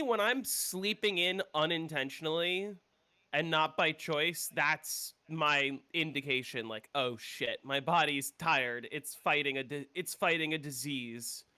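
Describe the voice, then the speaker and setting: Streamer, male, casual and opinionated, swears freely, higher voice, sounds a bit congested. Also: sharp tone. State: congested, coming down with a cold. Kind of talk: casual gameplay chat.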